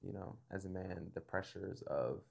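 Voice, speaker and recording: A young man hosting a casual interview, relaxed and thoughtful, often affirming with quick "yeah"s and pausing with fillers. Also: deep voice, creaky. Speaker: podcast host.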